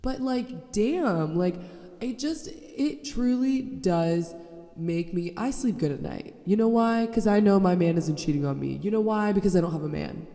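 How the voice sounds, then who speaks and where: A woman's voice: mid-range voice, lively intonation, measured pace, mellow, soft background music. A young woman hosting her own podcast, nasal from a cold, talking in a blunt, sarcastic, swearing rant. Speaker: podcast host. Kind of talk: casual solo podcast rant. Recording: echoey roomy sound, mic held wrong.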